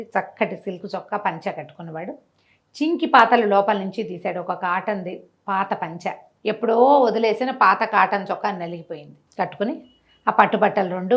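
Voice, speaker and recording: A woman telling a moral story conversationally, with animated delivery, rhetorical questions and everyday examples. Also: medium pitch. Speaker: storyteller, interview guest.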